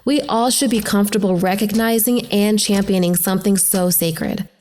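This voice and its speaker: A woman's voice: mid-range voice, steady tone, normal speed, a little mellow, a little hiss or hum, lightly acted. An actress playing a troubled young woman in a reflective, questioning dramatic inner monologue. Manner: reflective, rhetorical, searching. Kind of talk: dramatic introspective monologue voice-over. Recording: voice-over over prominent music. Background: music.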